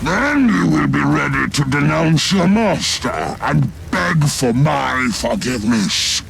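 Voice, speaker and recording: An actor playing a gloating, sadistic mad scientist villain in a video game, speaking in grandiose, taunting drawn-out phrases. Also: male voice, a little high, animated, vocal fry, music in the background, heavily acted. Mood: menacing. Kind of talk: dramatic video game voice acting.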